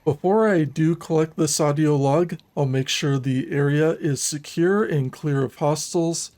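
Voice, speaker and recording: A man narrating a scripted video game walkthrough in a measured, methodical, instructional manner. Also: a little high, darkish tone.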